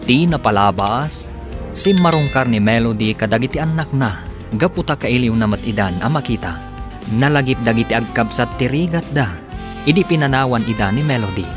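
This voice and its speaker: A man narrates and announces a radio drama from a script. His delivery is dramatic, with big swings in pitch, and music plays clearly behind him. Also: expressive, normal speed.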